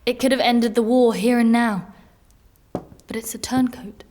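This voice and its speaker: A woman playing a proud, menacing warrior noblewoman in a dark fantasy audio drama, stern, formal and terse. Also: on the high side, steady tone, a little slow, a little hiss or hum, lightly acted. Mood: grim, resolved.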